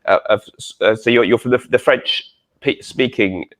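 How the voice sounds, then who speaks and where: A man's voice: medium pitch, moderate pace, fairly bright tone. A man hosting a chatty online interview, speaking in a polite, complimentary and somewhat hesitant way. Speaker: host of a fragrance interview show.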